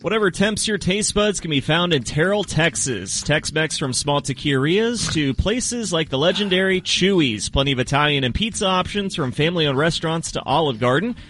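A man reading a scripted radio advertisement in a smooth promotional manner. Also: on the high side, lively intonation, normal speed, hardly any pauses, fairly bright tone, soft background music. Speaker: ad announcer.

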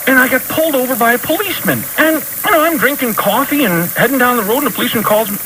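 Lively high-voiced man with a Lake Erie accent. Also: racing. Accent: Polish-tinged Lake Erie. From Lake Erie region, New York. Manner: storytelling, chuckling often. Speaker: radio host, guest. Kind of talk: studio radio banter. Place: radio studio. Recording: noisy radio broadcast.

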